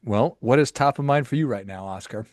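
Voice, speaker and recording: A man's voice: mid-range voice, fairly quick, no room sound. A man hosting a podcast, chatting casually and asking playful, teasing questions. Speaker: podcast host. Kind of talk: casual interview chat.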